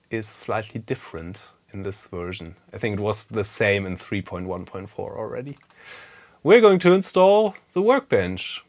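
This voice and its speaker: A German man with a fairly low voice and German as his first language, talking through a hands-on computer repair in a relaxed, casual way. He thinks aloud as he works, dropping plenty of "uh"s and small asides. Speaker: retro computing video host. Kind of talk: casual hands-on tech walkthrough.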